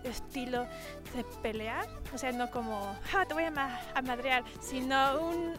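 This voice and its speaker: A woman's voice: high voice, unhurried, over music. A young woman, an illustrator answering interview questions in a rambling way with many restarts. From Mexico and the UK. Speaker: illustrator guest.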